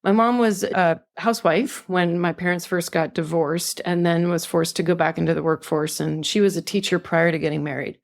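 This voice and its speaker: A woman's voice: fairly low pitch, steady tone, normal speed, vocal fry, hardly any pauses. An older woman from California, a podcast guest talking earnestly and reflectively about her life. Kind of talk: conversational interview.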